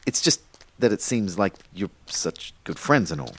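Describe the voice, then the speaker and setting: A man's voice: low-pitched, big pitch swings, normal speed, fairly bright tone, husky, heavily acted. An actor playing a wry, uneasy young man who narrates his own afterlife in an audio drama, speaking in a hesitant, conversational way. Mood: awkward, wry. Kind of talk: first-person audio drama narration and dialogue.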